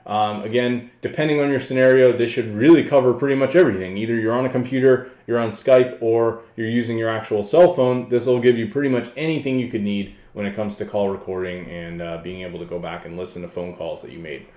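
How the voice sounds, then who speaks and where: A man hosting a casual software tutorial, explaining things informally and off the cuff. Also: lowish voice, steady tone, fast talker, few pauses. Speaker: tutorial host. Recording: roomy, echoey voice-over.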